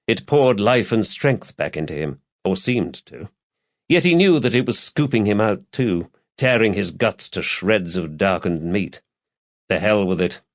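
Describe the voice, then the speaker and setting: A man's voice: on the low side, highs cut off. A man reading a crime novel aloud as an audiobook narrator, in a scripted literary style.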